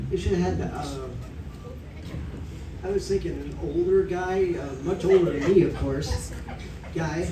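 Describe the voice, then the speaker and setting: A man in his sixties doing a stand-up comedy set, rambling and halting, with lots of fillers. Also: a little high, recorded from a distance. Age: 60s. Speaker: stand-up comic. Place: comedy club stage, audience laughing. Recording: echoey club room recorded from afar with noise.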